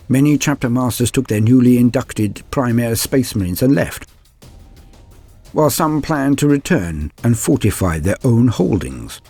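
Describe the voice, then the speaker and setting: A man giving a grave, theatrical reading of scripted war lore as a narrator, in measured phrases. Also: mid-range voice, mellow, slightly rough. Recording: voice over background music. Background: music.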